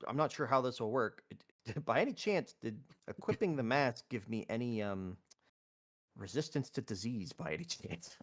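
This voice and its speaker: A man's voice: medium pitch, lively intonation, normal speed. A man chatting casually as a tabletop game player, hesitantly asking questions. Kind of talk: casual chat with game group.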